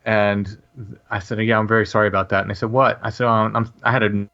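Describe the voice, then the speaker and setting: Low-voiced man telling a story haltingly. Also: level tone, sharp tone, dull audio. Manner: halting, many restarts and fillers. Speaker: podcast guest. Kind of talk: casual storytelling.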